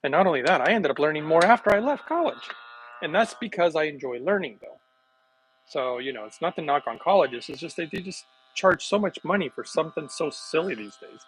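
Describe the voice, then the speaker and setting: A middle-aged man chatting casually with livestream viewers while making a smoothie in his home kitchen, rambling from one thought to the next. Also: on the high side, expressive, fairly quick, slight background noise, poor audio. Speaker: wellness vlogger.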